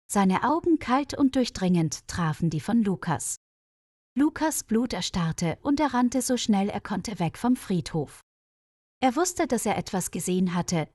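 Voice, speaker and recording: A woman reading a scripted mystery story for language learners in a measured, careful storyteller's delivery. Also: mid-range voice, a little mellow, no room sound, studio quality.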